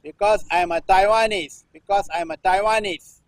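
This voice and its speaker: A man in his sixties from Singapore, a performance artist reading news text aloud in halting runs, restarting phrases and repeating a refrain. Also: high-pitched, no room sound. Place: temple-like cage at an art festival.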